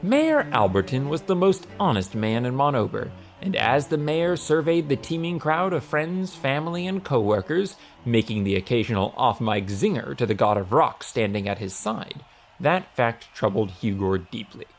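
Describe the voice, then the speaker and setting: A man narrating a comic fantasy audiobook in a lively, scripted storytelling style. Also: medium pitch, normal speed, slight background noise.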